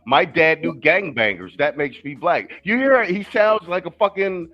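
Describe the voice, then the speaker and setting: A man's voice: on the high side, animated, moderate pace, lo-fi sound. A man hosting a casual stream, talking loosely and profanely with lots of restarts. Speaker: stream host. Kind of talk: casual talk-show chat.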